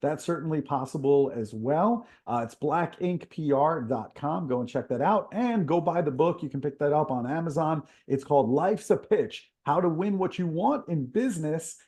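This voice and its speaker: Upbeat man hosting a podcast, mellow tone. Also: a little high, expressive, normal speed, few pauses. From Israel. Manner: upbeat, laughs often, enthusiastic praise. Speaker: podcast host. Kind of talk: casual interview chat.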